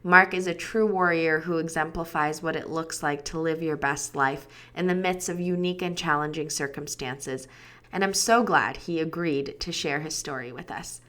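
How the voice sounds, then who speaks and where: A woman's voice: low voice, steady tone, normal speed, fairly bright tone. A woman hosting a podcast, reading a scripted introduction in an upbeat, enthusiastic way. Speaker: podcast host.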